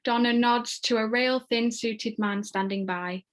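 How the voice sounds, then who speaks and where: A woman with a fairly high voice reads screenplay action for a table read. Her delivery is scripted and descriptive, moving through scene headings and stage directions. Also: steady tone, unhurried.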